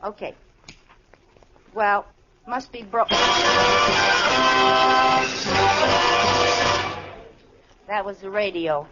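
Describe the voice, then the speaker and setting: An actress playing a naive, excitable, scatterbrained wife in a 1940s radio comedy, cheerfully oblivious and quickly indignant. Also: female voice, mid-range voice, over a noisy crowd, close to an everyday voice. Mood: flustered. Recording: noisy old radio recording, dull, studio audience.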